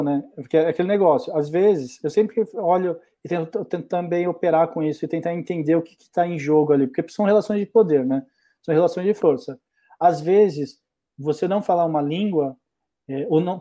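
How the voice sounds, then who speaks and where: Halting reflective Brazilian man. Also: a little high, warm tone. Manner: reflective, halting with many restarts. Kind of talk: casual conversation.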